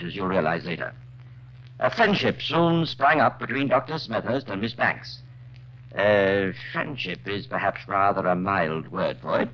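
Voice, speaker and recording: A man narrating as a wry, urbane true-crime storyteller in 1950s radio drama style, dry and conversational. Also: fairly low pitch. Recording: muffled, noisy old radio recording.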